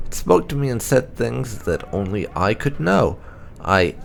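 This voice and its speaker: A man narrating game lore aloud as a playthrough host, in a measured reading manner. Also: low voice, creaky.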